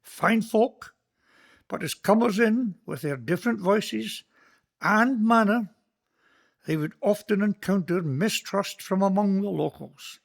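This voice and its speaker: Guy doing a scottish minister in a radio play, slow and gravelly, kind and earnest. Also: sits high, heavily acted. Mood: fond. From Scotland. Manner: measured, sermon-like storytelling. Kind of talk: community radio play monologue.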